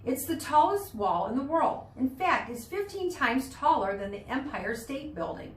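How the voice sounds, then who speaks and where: A woman's voice: high-pitched, big pitch swings. Woman reading aloud, distant and echoey. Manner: explanatory, pauses to explain words and pictures. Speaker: teacher reading aloud to students. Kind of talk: read-aloud of a children's nonfiction book. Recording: roomy, distant mic with background noise.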